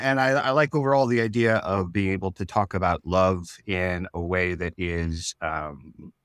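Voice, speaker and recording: A man's voice: low-pitched, no echo, studio quality. A man speaking as an interview guest, explaining things thoughtfully and searching for his words in a conversational way. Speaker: series writer, interview guest.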